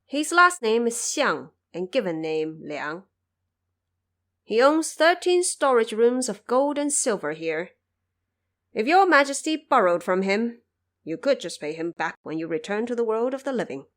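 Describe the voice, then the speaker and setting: A woman's voice: on the high side, big pitch swings. A woman playing a solemn male judge of the underworld in an audio drama, courteous, formal and commanding. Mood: solemn, advising. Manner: formal, measured, grave.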